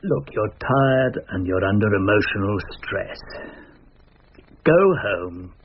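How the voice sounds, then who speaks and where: Lowish, firm senior police chief. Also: male voice, slow pace, husky, heavily acted. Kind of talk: British radio drama acting. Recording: muffled, noisy radio drama recording.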